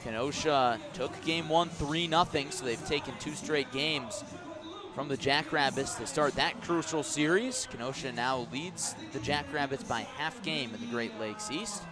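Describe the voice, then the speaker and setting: A man calling baseball play-by-play for radio, conversational and storytelling between pitch calls, with clearly structured sports commentary delivery. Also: unhurried, over music, crowd noise.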